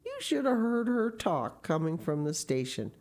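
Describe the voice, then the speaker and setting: A woman voicing a shy, mild older man from a storybook, gently stubborn, with slow, halting, reluctant speech. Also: on the low side, sing-song. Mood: mildly persistent. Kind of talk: storybook character reading.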